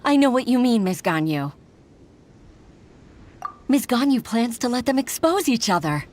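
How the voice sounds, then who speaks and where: A woman's voice: a little high, big pitch swings, a little slow, a little mellow, slight background noise. An actress playing an earnest, troubled young female clerk who speaks in a worried, sighing way.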